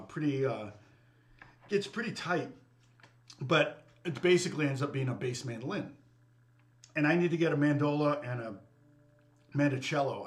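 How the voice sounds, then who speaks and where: A man's voice: medium pitch, fairly bright tone. A middle-aged man, a guitar teacher, chatting casually and rambling with his live viewers, often stopping and restarting his sentences. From United States. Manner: rambling, self-interrupting, quick to laugh at himself. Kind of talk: casual livestream chat.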